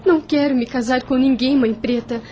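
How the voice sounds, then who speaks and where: An actress playing a meek, sweet young Brazilian heiress in a radio drama, speaking gently and formally. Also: female voice, high-pitched, steady tone, fairly quick, lightly acted. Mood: anxious.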